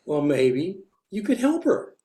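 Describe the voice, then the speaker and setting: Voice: mid-range voice, sing-song, slow. Someone reading a patient, coaxing dad. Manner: gentle, coaxing. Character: patient suburban father. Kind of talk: table read of an amateur play.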